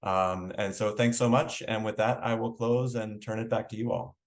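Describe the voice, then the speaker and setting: Low-voiced man giving halting opening remarks. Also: fairly even intonation. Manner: halting, hedging, frequent "you know". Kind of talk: conference welcome speech, loosely spoken.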